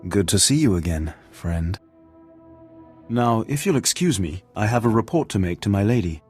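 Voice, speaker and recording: Actor as a low-pitched, courteous retainer. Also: male voice, animated, normal speed, a little mellow, faint music behind, natural voice. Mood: friendly, polite. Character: courteous male retainer of a noble lady. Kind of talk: video game voice acting.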